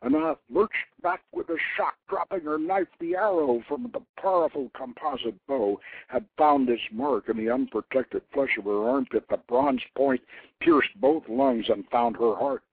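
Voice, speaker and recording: A man's voice: mid-range voice, expressive, gravelly, husky, no room sound. Phone-like male storyteller, theatrical delivery. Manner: theatrical, grandiose, deliberate phrasing. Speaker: storyteller, host reading his own novelette. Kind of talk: dramatic scripted narration of an ancient legend. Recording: very dry internet radio, narrow phone-like band.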